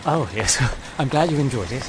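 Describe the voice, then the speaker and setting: An actor playing a polite, devoted British gentleman suitor in a period radio drama, courteous and slightly hesitant. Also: mid-range voice, expressive, moderate pace, background noise, natural voice. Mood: awkward, cheerful.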